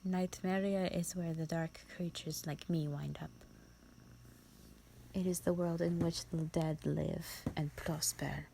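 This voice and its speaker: A woman voicing a bored, contemptuous female vampire in an audio roleplay, with a breathy, creaky voice and a disdainful, formal drawl. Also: sits low, steady tone, takes it slowly, warm tone, slight background noise, heavily acted. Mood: bored, haughty. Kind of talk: scripted roleplay monologue for the listener.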